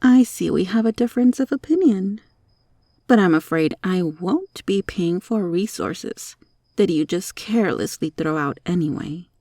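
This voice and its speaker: Slow, creaky monster roach girl, acted by a woman. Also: fairly low pitch, warm tone, dry recording, studio quality. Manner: slow, coy, prim formal phrasing. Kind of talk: ASMR roleplay.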